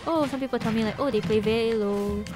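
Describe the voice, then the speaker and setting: Young woman, Singaporean English accent, slightly high voice, giggly, rambling live-stream chat, desk mic in bedroom, faint music behind. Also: a little fast. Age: young adult. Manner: chatty and giggly, trailing off and restarting. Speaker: Twitch streamer. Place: small bedroom, indoors. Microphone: desk microphone with headset.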